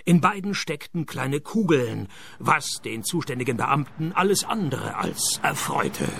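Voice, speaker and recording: An actor playing a sardonic, world-weary private detective who narrates his case in a deadpan radio play manner. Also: mid-range voice, a little slow, gravelly, husky, full character voice. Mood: dry narration. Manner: deadpan, sarcastic. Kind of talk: radio play dialogue and first-person narration.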